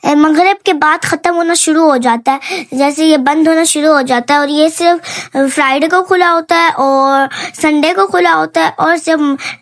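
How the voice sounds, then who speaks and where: A woman narrating her vlog in a chatty, playful way, repeating phrases, a little hoarse from a sore throat. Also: sits high, steady tone, normal speed. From Pakistan. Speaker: vlogger.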